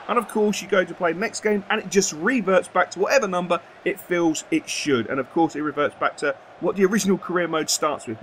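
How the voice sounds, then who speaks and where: A man's voice: sits high, a bit bright, slight background noise. A young man commenting on his own football video game career, emphatic and prone to repeating words for stress. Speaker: gaming video host. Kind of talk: gameplay commentary.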